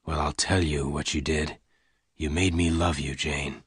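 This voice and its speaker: A man voicing a calm, gruff male gunman who speaks low and quietly. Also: measured pace, no room sound, clean studio sound, full character voice. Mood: quiet, hard.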